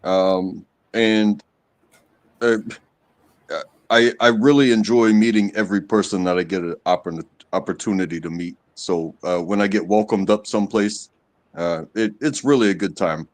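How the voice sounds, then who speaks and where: A man chatting casually on a live stream, speaking haltingly with many fillers and restarts. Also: fairly low pitch, fairly even intonation. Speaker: stream guest. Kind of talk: casual chat.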